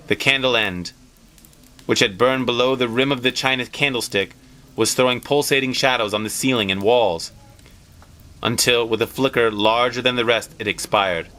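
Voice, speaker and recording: A man's voice: medium pitch, normal speed, pushed and bright. A man narrating a story aloud in a dramatic, scripted storytelling style.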